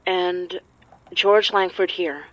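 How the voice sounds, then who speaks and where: A woman acting as an anxious but determined young folk magic practitioner in an audio drama, sometimes halting. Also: on the low side, a bit bright, dry recording, poor audio. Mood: focused, serious.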